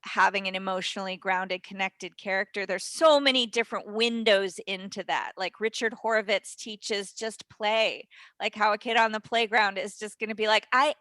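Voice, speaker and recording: A woman speaking in an animated, emphatic way as a guest acting teacher, answering casually in an interview. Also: mid-range voice, normal speed, no echo.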